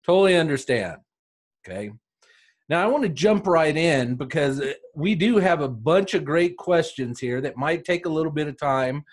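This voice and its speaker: A man's voice: on the high side, lively intonation, moderate pace. Brief: male interview host, friendly and encouraging. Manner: upbeat, encouraging questioner. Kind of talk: casual interview.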